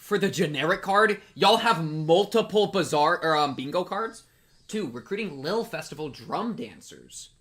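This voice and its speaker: A man hosting a live game playthrough, excitable and given to repeating his phrases, bantering with chat and reading game text aloud. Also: fairly high pitch, normal speed. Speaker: livestream host.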